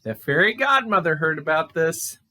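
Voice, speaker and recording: A woman narrating a puppet show story in an animated, children's storytelling style. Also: sits low, normal speed.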